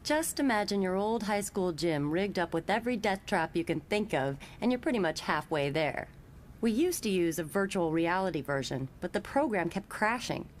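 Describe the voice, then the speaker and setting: A woman's voice: medium pitch, expressive, moderate pace, slight background noise, close to an everyday voice. A woman voicing a friendly, knowing young telepath who guides a newcomer in a motion comic, chatty and matter-of-fact. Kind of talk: expository motion comic voice acting.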